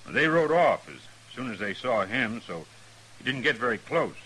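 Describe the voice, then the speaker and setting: Sharp-voiced frontier stagecoach driver. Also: male voice, medium pitch, very animated, moderate pace, poor audio. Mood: plain, matter-of-fact. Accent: rural American. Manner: rustic, direct. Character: plain-spoken, earnest frontier stagecoach driver. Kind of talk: 1950s radio western acting. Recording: faintly hissy old radio recording.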